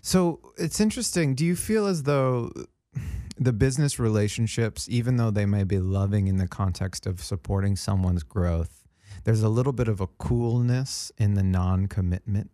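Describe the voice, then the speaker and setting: A man hosting a reflective, conversational interview, speaking in a thoughtful, deliberate, affirming way. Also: fairly low pitch, warm tone, dry recording, clean studio sound. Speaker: interviewer, host.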